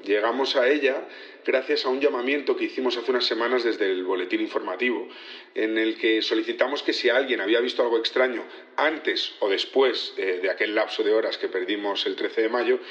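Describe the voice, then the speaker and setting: A man's voice: mid-range voice, brisk, fairly bright tone, echoey room, muffled recording, lightly acted. An actor playing an earnest male security chief testifying in an audio drama, polite and explanatory with slight hesitations. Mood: hesitant, cooperative. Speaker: witness in a trial. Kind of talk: naturalistic audio drama acting.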